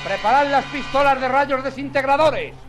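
An actor playing a brave, cool-headed space commander, authoritative and decisive, in a 1950s radio serial. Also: male voice, very high voice, moderate pace. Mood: urgent, commanding. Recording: vintage 1950s radio, music underneath.

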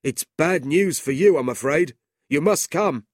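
A man's voice: expressive, a little slow, dry recording, studio quality. A man voicing an urgent elderly clerk bringing bad news in a storybook reading. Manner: urgent, high-pitched.

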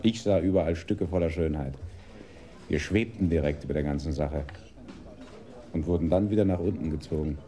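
Deep, brooding police detective. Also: male voice, moderate pace, pauses often, warm tone. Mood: melancholy, resigned. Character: weary, melancholy, reflective police detective. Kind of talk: German radio crime drama acting.

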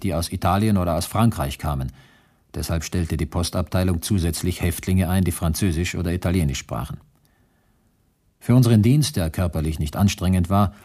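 A man's voice: low-pitched, mellow. An actor playing a male camp survivor who recounts his ordeal soberly and precisely in a radio drama monologue.

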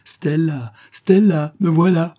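A man's voice: mid-range voice, normal speed, warm tone, slightly rough, close to an everyday voice. A man voicing an ardent, boyish young man in love, exclamatory and insistent, in a storybook reading. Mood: joyful.

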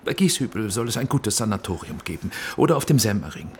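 An actor playing a tense middle-aged father in a radio drama, placating and hushed, then firm. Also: lowish voice, big pitch swings, fast, slight background noise. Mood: hushed, doubting. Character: tense middle-aged father, film director planning escape. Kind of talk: German radio drama acting.

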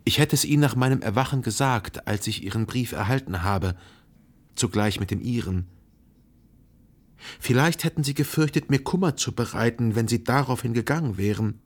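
An actor playing a melancholy, self-deceiving gentleman, a jilted lover narrating a literary radio drama in a measured, formal and carefully articulated way. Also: medium pitch, lightly acted. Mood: restrained, wounded. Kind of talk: literary radio play narration, reading a letter.

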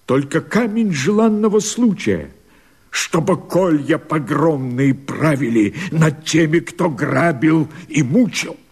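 An actor playing a fiery rebel leader who proclaims himself emperor, declaiming verse in a radio play with husky, impassioned, theatrical oratory. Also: male voice, on the high side, expressive, slow pace, darkish tone, exaggerated voice. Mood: rousing, fierce.

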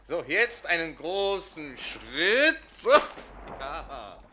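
A man's voice: on the high side, sing-song, very slow, bright, forceful tone, a little hiss or hum, heavily acted. A man in a German radio play acting a worldly boatman and grotto guide, coaxing, servile yet commanding. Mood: brisk, coaxing.

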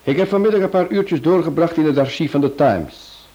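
An actor playing a clever detective who lays out his reasoning in a measured way in a radio play. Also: on the high side, warm tone, breathy edge. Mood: explaining. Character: clever male detective, analytical. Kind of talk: vintage radio play acting. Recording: dull old recording.

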